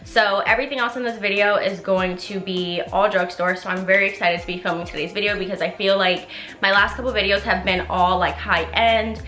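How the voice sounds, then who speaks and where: A woman's voice: medium pitch, fairly even intonation, fairly quick, bright, forceful tone, roomy sound, faint music behind. A young woman chatting through a makeup tutorial, rambling and playful, a little congested and nasal. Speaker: beauty vlogger. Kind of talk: casual makeup tutorial chat.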